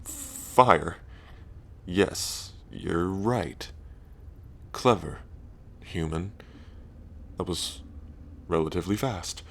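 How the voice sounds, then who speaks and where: A man playing a lonely ancient dragon king in roleplay ASMR, proud yet bashful, with halting, deliberate phrasing. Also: low-pitched, creaky, exaggerated voice. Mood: playful, challenging. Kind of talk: roleplay ASMR audio drama.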